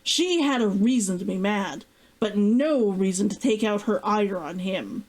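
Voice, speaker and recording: A woman reading a novel aloud as its author, in an expressive audiobook-style narration. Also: medium pitch, measured pace, poor audio.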